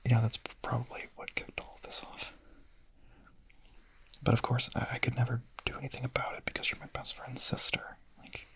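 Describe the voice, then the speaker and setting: A man playing a shy, gentle young vampire in a whispered ASMR roleplay, murmuring softly, hesitantly and intimately in a breathy voice. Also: medium pitch, completely flat delivery, brisk, poor audio. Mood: quiet confessing. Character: shy, gentle young male vampire, smitten misfit confessing.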